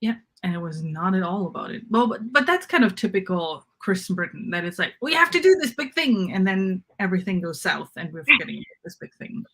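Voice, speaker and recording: A woman's voice: medium pitch, very animated, a little fast, few pauses, fairly bright tone, husky, rough recording. A woman in a casual book chat, co-hosting and thinking aloud in an informal way, often trailing off mid-thought. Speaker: co-host of a book discussion. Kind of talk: casual fan chat about fantasy novels.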